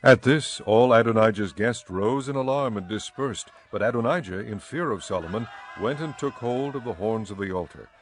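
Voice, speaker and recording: A man narrating scripture in a measured, formal storytelling manner for a dramatized audiobook. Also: lowish voice.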